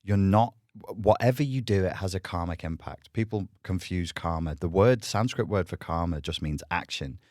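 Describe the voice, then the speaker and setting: Deep-voiced man, blunt and provocative. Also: moderate pace, hardly any pauses, a little mellow, no room sound, clean studio sound. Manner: provocative, blunt, laughs often. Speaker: podcast guest. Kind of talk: casual debate chat.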